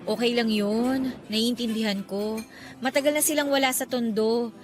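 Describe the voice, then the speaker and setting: A female actor as an affectionate, trusting young girlfriend in a radio drama. Her voice is clearly high and conversational, easily tipping into questions, over soft background music. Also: fairly even intonation, moderate pace, natural voice. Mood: light, teasing. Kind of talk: Tagalog radio drama acting.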